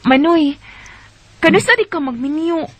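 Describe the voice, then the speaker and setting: A woman's voice: sits high, expressive, unhurried, fairly bright tone. A woman playing a sweet, cautious schoolgirl and devoted younger sister in a Filipino Bisaya radio drama. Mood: grateful, curious.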